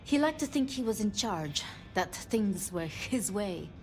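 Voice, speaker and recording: An actress playing a modest, unsure vampire apprentice in a video game, speaking haltingly and self-deprecatingly. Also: female voice, mid-range voice, expressive, natural voice. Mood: pensive. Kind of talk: video game dialogue acting. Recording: music behind the voice.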